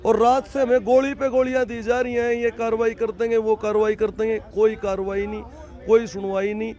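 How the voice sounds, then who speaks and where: A man's voice: sits high, little pitch movement, fairly quick, mellow, lo-fi sound. A man at an outdoor protest speaking to a reporter in an indignant, emphatic way, repeating his points. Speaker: protest organizer. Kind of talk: impassioned protest speech to reporter.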